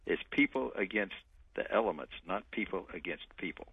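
A man's voice: low-pitched, animated, normal speed, gravelly, husky, distant mic. A man with a Texan accent, speaking on a radio interview as a guest speaker, telling his story in an easygoing way. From Texas. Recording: dry tinny phone line.

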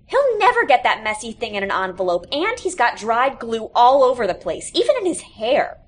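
Woman acting out whiny, high-pitched tattling schoolkids. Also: sing-song, normal speed. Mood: indignant, whiny.

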